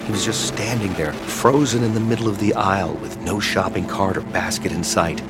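Low-voiced man narrating over loud music. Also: moderate pace. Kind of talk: scripted horror drama narration.

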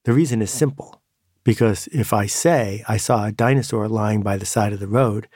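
A man hosting his own podcast, talking through an idea in a measured, musing way with pauses for emphasis. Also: on the low side, a little mellow, husky, no echo, studio quality. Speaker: podcast host. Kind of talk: reflective monologue.

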